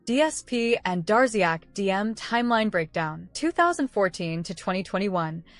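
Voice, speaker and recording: A woman's voice: medium pitch, lively intonation, normal speed, no echo, soft background music. A synthetic woman's text-to-speech voice reading a summary aloud impersonally.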